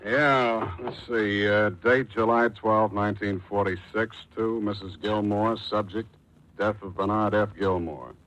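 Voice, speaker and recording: An actor playing a wry, clipped, world-weary private detective in the style of 1940s radio drama. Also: male voice, lowish voice, fairly even intonation, a little slow, a bit bright, slight background noise, rough recording. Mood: brisk dictating.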